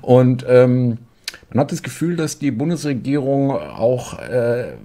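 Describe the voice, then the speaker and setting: A man in his fifties hosting casual political commentary from a home desk, halting with many filler sounds and sarcastic asides. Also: mid-range voice, lively intonation, mellow.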